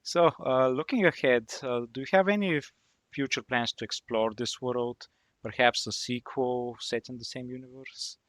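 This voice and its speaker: A man's voice: mid-range voice, moderate pace, a bit bright, no room sound. A man co-hosting a podcast, asking questions casually with fillers. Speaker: podcast co-host. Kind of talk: podcast interview.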